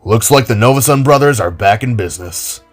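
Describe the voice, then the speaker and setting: A man voicing a scarred older brother who speaks in a measured, earnest way. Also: medium pitch, expressive, faint music behind, natural voice.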